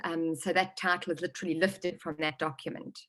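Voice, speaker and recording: A woman's voice: on the low side, level tone, fairly quick, fairly bright tone. A middle-aged woman with a South African accent answering interview questions thoughtfully, with many ums. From Johannesburg, South Africa. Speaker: novelist guest.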